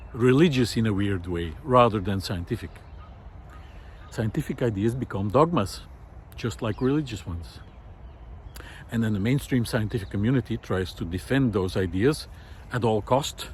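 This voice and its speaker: A man, musing aloud in a walk-and-talk video. He talks reflectively and often pauses with "uh". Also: mid-range voice, darkish tone, breathy edge. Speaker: video essayist. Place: outdoor park lawn. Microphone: handheld camera while walking.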